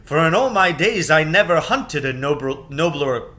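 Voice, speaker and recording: A man's voice: a little high, expressive, moderate pace, pushed and bright. A man voicing a grand, gallant storybook king with a formal, declamatory, old-fashioned courtly manner. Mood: stately, eager.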